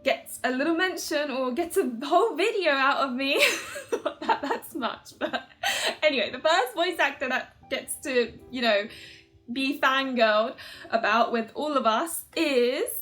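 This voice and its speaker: Giggly, higher-pitched woman chatting as a fan. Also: normal speed, fairly bright tone, soft background music. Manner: giggly, gushing fan talk, informal and rambling. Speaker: host of a video channel about otome games. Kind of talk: casual commentary to viewers.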